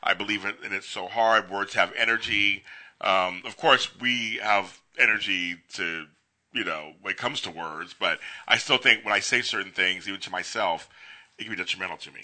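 A man's voice: on the low side, moderate pace, bright, forceful tone. A man hosting a casual interview, chatty and upbeat, jumping between thoughts, with a dry throat. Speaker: podcast host.

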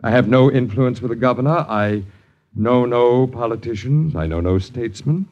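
A man's voice: mid-range voice, normal speed, a little mellow, natural voice. Formal, precise lodger played by a man, wordy and poor but proud, dull vintage radio sound. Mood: dryly indignant. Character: polite, wordy, impoverished male lodger. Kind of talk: 1950s radio acting. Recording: band-limited old radio recording.

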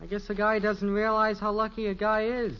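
A man's voice: high-pitched, monotone, a little fast, close to an everyday voice. An actor playing a wry, wisecracking American teenage boy in a 1950s radio sitcom, boyish and bemused. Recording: muffled vintage 1950s radio recording.

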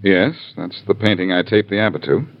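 An actor playing a cool, quick-witted adventurer hero with a wry, clipped manner in a 1940s radio crime drama. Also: male voice, lowish voice, close to an everyday voice. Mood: cool, needling. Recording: dull 1940s radio recording.

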